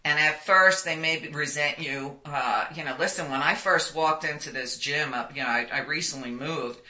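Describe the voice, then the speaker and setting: A woman in her sixties with a loud voice, telling stories animatedly in an interview and laughing often. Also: deep voice, moderate pace. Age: 60s. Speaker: guest. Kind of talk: conversational interview.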